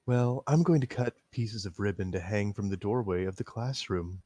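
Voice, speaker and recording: A man reading the lines of a shy, intense teenage girl fond of knives, soft and a little hesitant. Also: lowish voice, moderate pace, dry recording, lightly acted. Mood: dreamy. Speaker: reader in a staged reading. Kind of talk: casual read-aloud of game dialogue.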